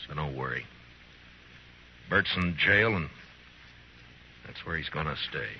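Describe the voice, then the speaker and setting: An American actor playing a steady, unbending frontier lawman in an old radio western, terse and authoritative, on a vintage recording. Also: extremely low pitch, unhurried, dull audio, heavy background noise. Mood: grim, steady. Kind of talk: old-time radio drama acting.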